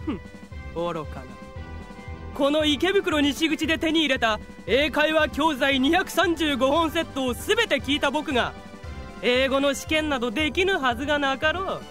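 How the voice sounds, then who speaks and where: A woman playing a pompous, boastful rich male high school student with a haughty, theatrical delivery in an audio drama. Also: expressive, normal speed, fairly bright tone, soft background music. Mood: smug. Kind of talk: Japanese audio drama acting.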